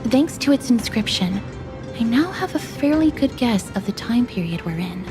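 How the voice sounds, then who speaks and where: An actress playing a composed, observant travelling companion in a video game, polite, measured and formal. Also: female voice, a little high, lively intonation, music in the background, natural voice. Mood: quietly pensive.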